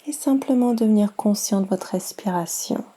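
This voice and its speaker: A woman guiding a yoga nidra meditation in a soft, soothing, unhurried manner with long pauses. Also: mid-range voice, husky. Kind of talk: guided meditation.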